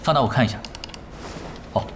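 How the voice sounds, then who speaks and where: A man playing a laid-back expert gamer who works at an internet cafe, offhand and calm, in an audio drama. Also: fairly quick, a little hiss or hum, lightly acted. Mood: nonchalant. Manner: nonchalant, offhand, calmly giving orders. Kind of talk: Chinese audio drama acting.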